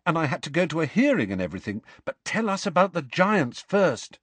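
An inquisitive teenage boy from a storybook voiced by a man, pitched up from the narration. He talks directly and earnestly, with a lively range and determined push. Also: brisk, husky, no room sound, exaggerated voice. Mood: insistent.

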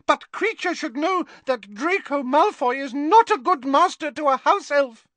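A man voicing an excitable, loyal male house elf with a high, squeaky voice. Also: moderate pace, no echo. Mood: eager. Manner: squeaky, breathless, speaks of himself in the third person.

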